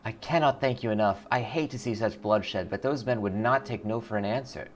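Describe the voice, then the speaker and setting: A man's voice: lowish voice, fairly quick, lightly acted. A humble old woman who keeps a shop in a video game, voiced by a man. She is weary and polite, with a pleading manner, over faint background music. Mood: grateful. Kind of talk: video game dialogue read aloud.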